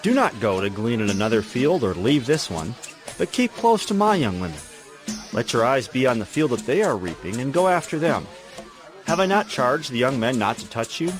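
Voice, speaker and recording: A man playing a kindly, devout older landowner in a dramatized scripture reading, gentle and solemn but used to command. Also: mid-range voice, sing-song, normal speed, soft background music, close to an everyday voice. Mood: kind, reassuring. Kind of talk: dramatized scripture audiobook acting.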